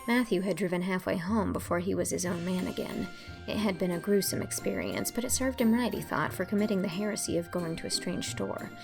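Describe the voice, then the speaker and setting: A woman narrating a classic novel with an even, storytelling delivery. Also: on the low side, brisk, creaky, music in the background. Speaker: audiobook narrator. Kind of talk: scripted narration.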